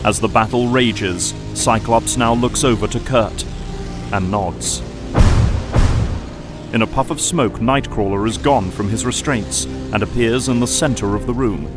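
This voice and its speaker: A male narrator reads dramatic scripted action in a medium-pitched voice. Music plays clearly behind him throughout. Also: unhurried.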